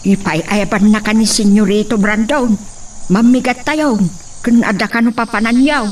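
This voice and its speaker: A woman's voice: fairly high pitch, normal speed, recorded from a distance, a little hiss or hum, close to an everyday voice. A woman playing a chatty, teasing young servant in a radio drama. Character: young female servant calling others to eat.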